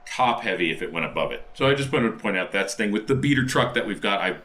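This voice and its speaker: A man's voice: on the low side, lively intonation, fast, pushed and bright, slight background noise. A man playing a tabletop game, talking casually through what his character does.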